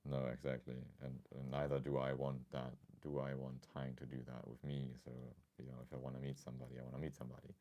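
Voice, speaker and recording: A man's voice: extremely low pitch, completely flat delivery, fast talker, hardly any pauses, dark tone. A man with a slightly scratchy voice chatting casually as a livestream host, explaining things thoughtfully with many hedges.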